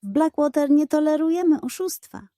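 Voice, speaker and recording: A proud, official frontier mayor from a storybook, acted by a woman. He makes formal proclamations in a lifted, high voice. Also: normal speed, mellow, no room sound, clean studio sound, close to an everyday voice. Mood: severe. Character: proud, official male town mayor in a Western.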